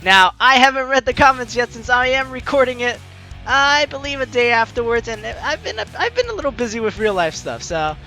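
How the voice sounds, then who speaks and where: Male let's play host, high-pitched and very lively, chatty commentary over faint music. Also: a little fast. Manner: animated, chatty, self-interrupting asides. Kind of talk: game commentary mixed with reading dialogue aloud.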